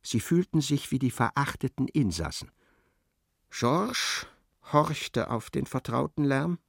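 A man narrates literary prose for a radio drama. His mid-pitched voice has a gravelly fry, and his delivery is measured, precise and dramatic. The sound is very dry and close. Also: lively intonation, no room sound.

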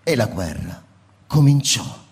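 An actor playing a shaken male survivor of a city bombing, who narrates a radio drama and either cries out his memories urgently or speaks in a hushed, slow voice. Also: lowish voice, big pitch swings. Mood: frantic. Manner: urgent, near-shouted remembering, then hushed and slow. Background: music.

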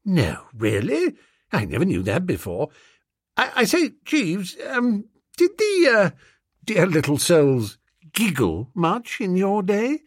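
Flustered posh young English gentleman, acted by a man. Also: high-pitched, wildly swooping pitch, slow, slightly rough, dry recording, clean studio sound, voice pushed up, full character voice. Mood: uneasy. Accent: upper-class English. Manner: hesitant, exclamatory, old-fashioned slang. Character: flustered upper-class young English gentleman, amiable and dim.

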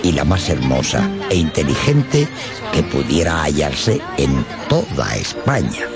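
An actor playing a wry storyteller in a Spanish-language radio drama, with a theatrical, drawn-out delivery. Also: male voice, extremely low pitch, wildly swooping pitch, gravelly, heavily acted. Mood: storytelling. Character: wry male storyteller of a classic Spanish tale. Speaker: narrator. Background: loud music.

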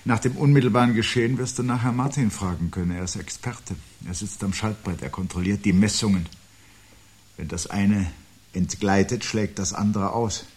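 A brooding, anxious prophet of doom in a seventies radio drama. His voice is very low and husky, and he delivers his thoughts gravely and deliberately, in articulate, philosophical phrases. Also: fairly even intonation, darkish tone. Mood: bleak, insistent. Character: brooding, anxious man prophesying the end of life. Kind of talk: 1970s German radio play acting.

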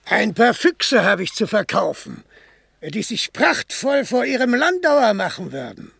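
A grumbling small-town haulier in a radio play. The voice is extremely high, creaky and husky, insistent and lively. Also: male voice, unhurried, dry recording, studio quality, heavily acted. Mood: eager, pushy. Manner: blunt, insistent. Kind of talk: German radio play acting.

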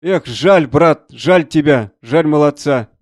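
A man's voice: a little high, slow pace, darkish tone, no echo, clean studio sound. A man voicing a stern but compassionate colonel in a dramatised audiobook, with emphatic, commanding delivery. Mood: pitying. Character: indignant male colonel, stern yet compassionate toward his soldiers.

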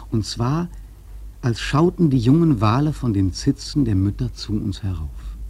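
Radio drama narrator: male whaler, dark, very raspy voice, solemn literary storytelling. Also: fairly low pitch, exaggerated voice. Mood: awed, hushed.

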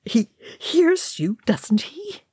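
A woman's voice: fairly low pitch, huge pitch swings, a little fast, husky, no echo, clean studio sound, full character voice. A woman voicing an anguished, hot-tempered old male dwarf ghost, emphatic and fraught, in a storybook reading. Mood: anguished pleading. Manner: pleading, snappish, emphatic.

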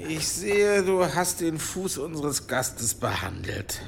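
Senior male monk, gravelly creak, dry admonishing manner, radio play, faint background noise. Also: a little high, sing-song, takes it slowly, heavily acted. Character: stern senior monk and monastery healer. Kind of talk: radio drama dialogue.

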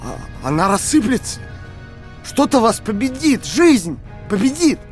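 An actor playing a desperate but idealistic male prisoner, earnest and halting, in an audio drama. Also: high voice, big pitch swings, soft background music. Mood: desperate, defiant. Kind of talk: dramatic audio play dialogue.

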